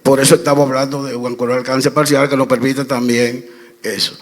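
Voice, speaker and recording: A man's voice: medium pitch, level tone, normal speed. A man answering a moderator's question on a trade panel, telling anecdotes with hesitations and restarts. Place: conference hall. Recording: reverberant event hall.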